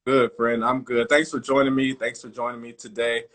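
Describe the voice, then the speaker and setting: A man's voice: medium pitch, little pitch movement, moderate pace, fairly bright tone. A man hosting a live online interview in an informal, effusive, conversational way, addressing his audience as y'all. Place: live video call stream.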